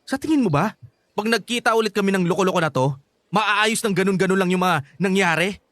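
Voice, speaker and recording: A man's voice: high voice, fairly quick, a bit bright, dry recording, studio quality. A man acting a bitter, hot-headed grown son in a Filipino radio drama, confrontational and sarcastic. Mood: angry, scornful.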